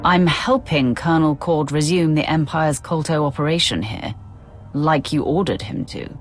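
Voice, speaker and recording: A woman's voice: deep voice, normal speed. An actress playing a cool, stern female Sith warrior in a video game, curt and commanding, with music behind. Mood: brisk, resolute. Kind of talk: video game cutscene acting.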